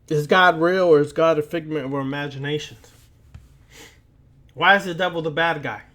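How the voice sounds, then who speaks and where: A man lecturing like a preacher, speaking deliberately and emphatically, with repetition and rhetorical questions. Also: on the high side, fairly bright tone. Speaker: occult lecturer.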